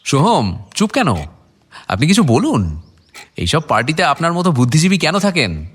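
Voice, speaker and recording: An actor playing an inquisitive junior police investigator in a radio drama, talking in questions. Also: male voice, a little high, sing-song, normal speed, breathy edge.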